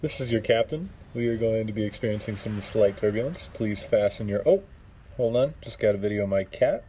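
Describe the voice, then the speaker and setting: A man acts as an airline captain in a radio ad, casual and easily sidetracked. The voice sits slightly low, with clear noise around the announcement. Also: animated, a little fast, lightly acted. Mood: distracted, casual. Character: casual, easily distracted airline pilot on intercom. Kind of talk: radio public service ad acting. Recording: noisy cabin intercom announcement.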